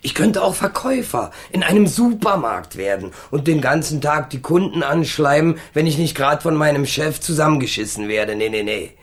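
An actor in a radio crime drama playing a defiant young ex-convict, cynical and remorseless, talking in brash, dismissive slang. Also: male voice, a little high, lively intonation, moderate pace, hardly any pauses, breathy edge. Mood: scornful. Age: young adult.

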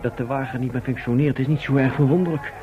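An actor playing a cool-headed tour guide from the future in a vintage Dutch radio drama, reasoning and placating. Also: male voice, medium pitch, fairly even intonation, quick pace, over loud music, over a noisy crowd, close to an everyday voice. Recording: dull old radio recording.

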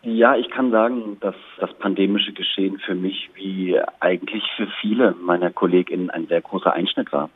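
A man's voice: low voice, steady tone, normal speed, hardly any pauses, husky. A man giving thoughtful answers as an interview guest, heard over a thin phone-like line.

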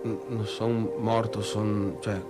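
A troubled young provincial man confides in a theatrical radio monologue with a northeastern Italian accent. He stumbles and circles back on his words obsessively, brooding and manic by temper. Loud music plays behind him. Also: on the low side, little pitch movement. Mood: halting, confiding. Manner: halting, obsessively repetitive, colloquial.

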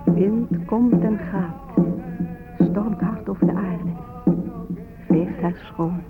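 An actor playing a solemn Native storyteller who recites his people's memory in measured, incantatory verse for a radio play. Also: sits high, fairly even intonation, heavily acted. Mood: solemn, reverent. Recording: tinny phone-like audio under prominent music.

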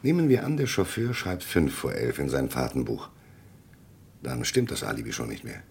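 A man acting a probing, deliberate police investigator in a German radio crime drama, with a gravelly, husky voice and pointed questions. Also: very deep voice, wildly swooping pitch, darkish tone, exaggerated voice. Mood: pointed, reasoning. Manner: questioning, hesitant pauses, then pointed.

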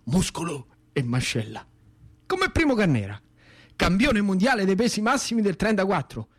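Animated Central Italian man telling stories. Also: high voice, moderate pace, husky. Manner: colloquial, rhythmic, theatrical. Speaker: actor and storyteller. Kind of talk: dramatic first-person theatre monologue.

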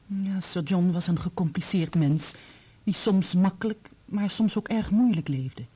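An actress in a Dutch radio play playing a composed, candid divorced woman who speaks in a measured, probing way. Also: on the low side, dark tone, husky. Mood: candid, reflective.

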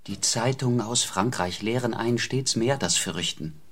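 A man's voice: medium pitch, normal speed, lightly acted. An actor playing an earnest male companion and caretaker in a radio play.

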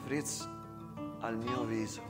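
A man narrating a theatrical monologue in short, measured phrases with dramatic pauses. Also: mid-range voice, steady tone. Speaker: actor-storyteller. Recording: long reverb, loud guitar music behind. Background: plucked guitar music.

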